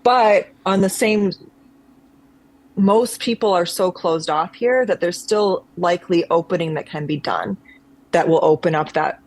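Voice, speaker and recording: A woman's voice: on the low side, a little slow, darkish tone, low-quality recording. A woman, a conservatory-trained actress, speaking as a guest on a video call, thoughtful and meandering with frequent restarts. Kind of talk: reflective conversational chat.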